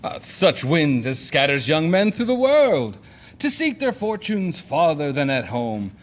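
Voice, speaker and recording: A man playing a blustering, boastful gentleman fortune-hunter in a declamatory Shakespearean audio play. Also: a little high, sing-song, measured pace. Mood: confident. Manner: declamatory, swaggering.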